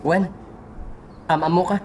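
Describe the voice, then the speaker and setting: A man's voice: a little high, steady tone, measured pace, a little mellow, a little hiss or hum, close to an everyday voice. A man with an Ilocano accent playing a questioning, defiant young son in a radio drama. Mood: puzzled, questioning.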